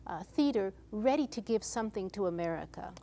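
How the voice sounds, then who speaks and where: A woman's voice: mid-range voice, animated, brisk, warm tone. A woman from Chicago hosting a television interview, attentive and often murmuring agreement. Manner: attentive, frequent affirmations. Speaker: interview host. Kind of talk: interview conversation.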